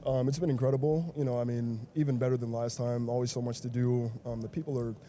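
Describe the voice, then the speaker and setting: A man's voice: mid-range voice, level tone, quick pace, warm tone, slight background noise. An American man from Chicago answering casually as a tourist in a street interview. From United States, Chicago.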